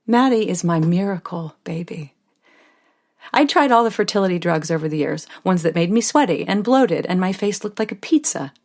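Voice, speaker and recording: An actress playing a tired, loving young mother who tells her story with intimate, wry warmth in a scripted monologue. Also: lowish voice, expressive, moderate pace. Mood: tender.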